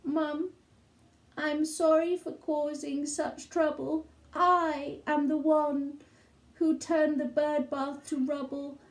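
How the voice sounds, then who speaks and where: A woman's voice: sits high, little pitch movement, takes it slowly, pitched above the performer's own voice. A woman voicing an apologetic small boy from a children's storybook, earnest and pleading. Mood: sheepish, sorry.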